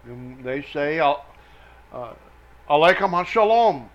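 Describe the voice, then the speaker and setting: A man preaching verse by verse, reading scripture aloud in emphatic, halting phrases and breaking off for explanatory asides. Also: on the high side, lively intonation. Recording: dull, lo-fi sound.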